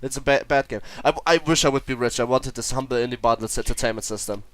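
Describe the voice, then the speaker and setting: A man's voice: medium pitch, fast. A man from Germany, chatting casually as co-host of a gaming podcast.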